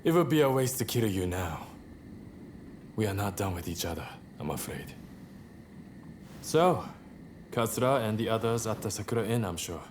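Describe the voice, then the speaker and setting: An actor voicing a cocky, nonchalant samurai rebel in a video game, sardonic and playfully teasing, with drawn-out delivery. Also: male voice, lowish voice, animated, a little hiss or hum, lightly acted. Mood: coolly playful. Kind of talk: dramatic video game voice acting.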